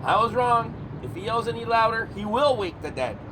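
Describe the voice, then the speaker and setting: A high, wildly swooping voice for a sarcastic male monster hunter acted by a man in comedy horror audio. He is exasperated and exclamatory, forever needling. There is clear noise behind him. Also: expressive, moderate pace.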